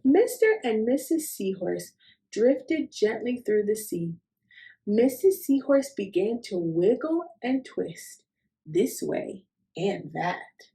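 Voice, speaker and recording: A woman hosting a children's storytime, reading a picture book expressively with drawn-out, child-directed phrasing. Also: medium pitch, sing-song, gravelly, dark tone, low-quality recording.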